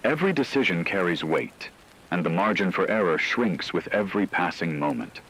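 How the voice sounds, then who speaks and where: A man playing a solemn radio news anchor, delivering a scripted emergency broadcast in a measured, ominous way. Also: sits low, expressive, a bit bright, slightly rough, heavily acted, rough recording. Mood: grave, ominous. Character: grave male radio news anchor reporting an apocalypse.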